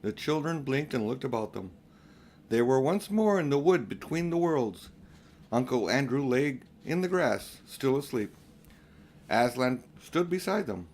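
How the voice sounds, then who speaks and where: A man's voice: medium pitch, expressive, a little slow, a little mellow, a little hiss or hum. Older man reading a children's storybook. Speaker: audiobook reader for children. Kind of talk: scripted storybook narration.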